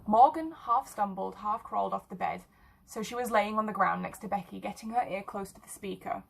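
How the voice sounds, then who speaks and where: A woman's voice: mid-range voice, brisk, creaky, breathy edge, rough recording. A woman narrating a short story as a scripted fiction reading.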